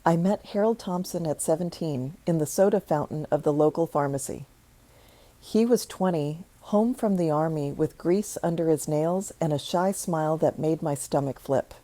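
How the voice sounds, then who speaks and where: A woman reading a scripted first-person story in a measured, reflective way. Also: low voice, mellow, no echo.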